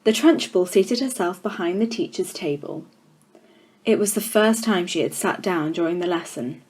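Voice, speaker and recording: A woman storyteller giving an expressive children's audiobook narration with deliberate, dramatic phrasing. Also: on the low side, breathy edge.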